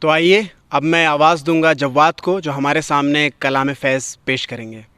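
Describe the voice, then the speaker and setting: Clear-voiced man hosting, rather high-pitched. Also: steady tone, moderate pace, pushed and bright, no room sound. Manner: formal, courteous, rhetorical repetition. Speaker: host. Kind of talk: formal literary presentation.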